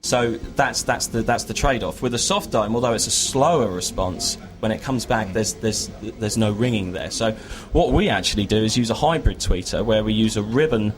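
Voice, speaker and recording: A man's voice: fairly low pitch, normal speed, hardly any pauses, faint music behind. A man giving an informal, explanatory product presentation in an interview, with a hesitant and lightly joking manner.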